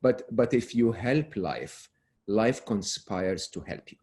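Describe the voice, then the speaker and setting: Deep-voiced man, earnest. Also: expressive, slow pace, darkish tone. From Egypt. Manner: earnest, frequent restarts, drops voice to close points. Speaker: guest. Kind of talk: relaxed interview talk.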